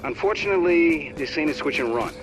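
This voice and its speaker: An actor with an American accent playing a wisecracking, colloquial tech operator briefing an agent in a video game. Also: male voice, a little high, animated, a little fast, over music, close to an everyday voice, poor audio. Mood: wry, instructive. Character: wry, folksy American male tech operator guiding an agent. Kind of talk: game character radio briefing.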